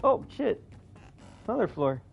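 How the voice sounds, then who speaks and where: A streamer comments casually while playing a game. The voice moves freely in pitch, slangy and laid-back in its reactions. The recording is a little dull, with faint music behind. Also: sing-song, a little slow, gravelly.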